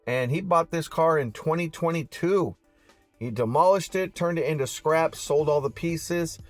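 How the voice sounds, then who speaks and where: Male car-news vlogger, casual and slangy, slightly high voice, music underneath. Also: normal speed, dry recording. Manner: slangy, addresses viewers as fam and man. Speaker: YouTube car news host. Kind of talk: casual vlog commentary.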